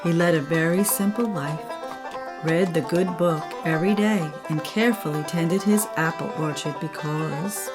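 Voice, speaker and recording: A woman's voice: sits low, moderate pace, music in the background. An older woman, a grandmother, narrating a children's story.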